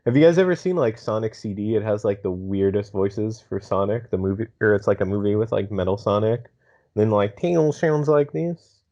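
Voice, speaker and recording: A man's voice: lowish voice, moderate pace, dark tone. A man in casual podcast chat, hesitant and slangy, on a slightly dull microphone.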